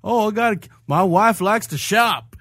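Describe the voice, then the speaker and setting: A man's voice: high voice, expressive, moderate pace, fairly bright tone. A man co-hosting a podcast in loose, casual conversation. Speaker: podcast co-host. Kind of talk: casual chat.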